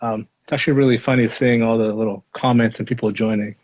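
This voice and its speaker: Man in his 30s, Spanish his first language, thoughtful and hedging guest, mid pitch, dull audio. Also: fairly even intonation, fast, a little mellow. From Los Angeles. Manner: reflective, hedging with many fillers. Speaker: guest speaker, lawyer. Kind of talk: casual interview answers.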